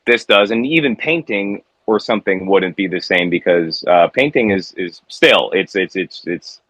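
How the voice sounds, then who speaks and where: A man from the United States, a guest musician, talking in a reflective, halting way with many restarts. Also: sits low, animated, fairly bright tone, dry recording. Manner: reflective, halting with repeated starts. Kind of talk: casual conversation.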